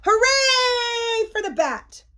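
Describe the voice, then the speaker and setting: Impatient witch for a storybook, woman reader: high, exclamatory, widely swooping pitch. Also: wildly swooping pitch, slow pace, heavily acted. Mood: delighted. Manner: exclamatory, emphatic. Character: impatient, exasperated storybook witch craving pumpkin pie. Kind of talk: children's storybook read-aloud.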